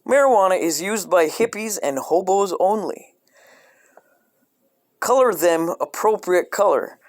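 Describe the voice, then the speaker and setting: Deadpan man reading aloud, lively pitch swings. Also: measured pace. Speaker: storyteller reading a parody children's coloring book. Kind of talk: scripted comedic read-aloud.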